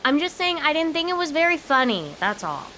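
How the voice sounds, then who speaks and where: Twenties American daughter, comic audio play. Exasperated, sarcastic, a touch high-voiced. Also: female voice, very animated, brisk, sharp tone, heavily acted. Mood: weary, dry. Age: 20s. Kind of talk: comedic audio drama acting. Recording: faint background hiss.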